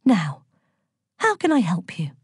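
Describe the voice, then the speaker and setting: A woman's voice: a little high, animated, a little slow, a little mellow, breathy edge, no room sound, clean studio sound, pitched above the performer's own voice. A woman voicing a sweet, mischievous goddess of love in a storybook, with a sing-song, trilling manner. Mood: sweetly accommodating.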